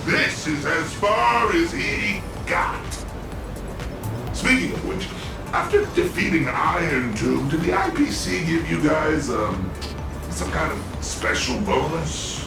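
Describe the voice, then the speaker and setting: A man's voice: a little high, lively intonation, slow, creaky, heavily acted. An actor playing a weary superhero man who jokes at his own expense in a video game scene. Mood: wry. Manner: wry, joking, self-deprecating. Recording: distant, echoey game mix. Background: music.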